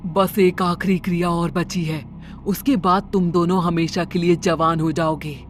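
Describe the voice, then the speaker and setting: A woman's voice: lowish voice, fairly even intonation, unhurried, slight background noise, close to an everyday voice. Woman acting a menacing, commanding witch. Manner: commanding, ominous. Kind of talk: Hindi cartoon horror story acting.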